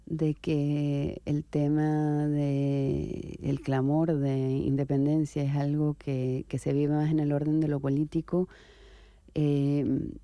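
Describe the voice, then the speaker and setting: Low, dark-voiced reflective woman writer. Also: no echo. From Venezuela. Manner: reflective, unhurried, with soft hesitations and tag questions. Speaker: writer and interview guest. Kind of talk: radio interview answers.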